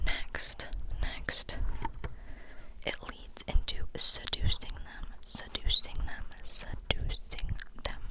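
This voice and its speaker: A woman whispering a chatty, tongue-in-cheek ASMR monologue full of internet slang, sometimes repeating phrases slowly. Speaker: ASMR video creator.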